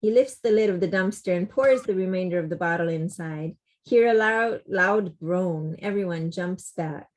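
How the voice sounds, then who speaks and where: A woman's voice: on the low side, moderate pace, few pauses. A woman reading scripted stage directions as narrator of a table read over a video call.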